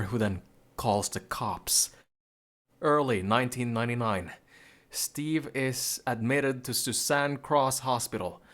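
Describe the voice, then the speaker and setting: A man's voice: on the low side, a bit bright. A man voicing a troubled, bitter young man in a grim, brooding game monologue. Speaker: game protagonist.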